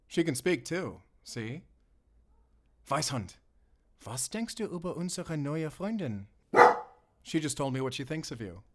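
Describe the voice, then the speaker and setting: An actor playing a mysterious, protective and wry German-speaking werewolf hunter in an intimate audio roleplay, soft-spoken and teasing. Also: male voice, fairly high pitch, animated, measured pace, long pauses. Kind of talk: cinematic audio roleplay, intimate bedtime storytelling.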